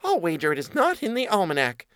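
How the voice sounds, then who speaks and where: A small female mouse from a fairy tale, acted out by a man. The voice is squeaky and pitched far up, with lively swings. She sounds trusting and easily worried, full of questions and exclamations. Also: fast talker, clean tone, dry recording, clean studio sound, heavily acted. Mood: astonished.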